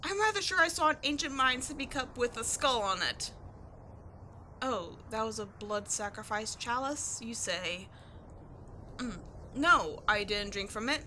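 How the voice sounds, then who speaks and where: Tomboy adventurer archaeologist for roleplay audio, female actor: young woman, high-pitched, sharp and bright, cocky bluster over a soft heart, slangy teasing delivery. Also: animated, measured pace, slight background noise. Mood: indignant.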